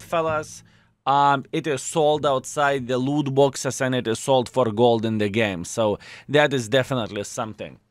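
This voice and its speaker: A man's voice: medium pitch, a bit bright, dry recording. Male host, Lithuanian accent, casual exclamatory gameplay talk, dry close-miked sound. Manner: chatty, exclamatory, addresses viewers as fellas. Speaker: gaming video host.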